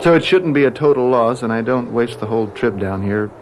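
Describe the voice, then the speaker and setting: An actor playing an earnest, plain-spoken young law student in a radio drama, with direct, colloquial speech. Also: male voice, medium pitch, animated, fairly quick, close to an everyday voice, rough recording. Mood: bitter, resigned. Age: young adult. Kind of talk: American radio drama acting. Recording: radio drama with clear background noise.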